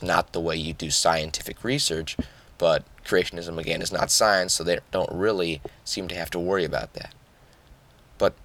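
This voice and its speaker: A young man hosting a solo podcast, nasal and a bit hoarse after yelling the day before, explaining things casually with fillers. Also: sits low. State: hoarse from yelling, just woke up. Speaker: podcast host.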